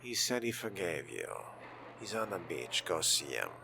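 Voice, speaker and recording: A man role-playing a vampire in a game in a hushed, wry, theatrical murmur with a gravelly, whispery grain. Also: low-pitched, sing-song, moderate pace. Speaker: player voicing a vampire in a game. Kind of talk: hushed in-character role-play. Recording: noticeable background noise and game ambience.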